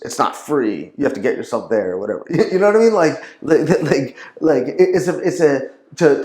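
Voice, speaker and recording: A man in a mid-range voice talks as a podcast guest, rambling and leaning on fillers and restarts. The room around him adds a noticeable echo. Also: mid-range voice. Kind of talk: casual conversation. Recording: roomy echo.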